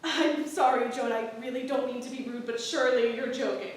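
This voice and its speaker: Woman acting a blunt townswoman, indignant under awkward manners, high voice, period stage play, distant mic. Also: steady tone, a little fast, lightly acted. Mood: incredulous. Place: theatre stage, picked up from far off. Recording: very echoey, distant, faintly noisy theatre recording.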